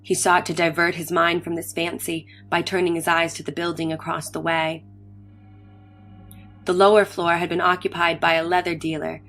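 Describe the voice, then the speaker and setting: A woman with a slightly low voice gives a dramatic reading of vintage science fiction. She narrates in formal, literary sentences, with faint music underneath. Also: a bit bright.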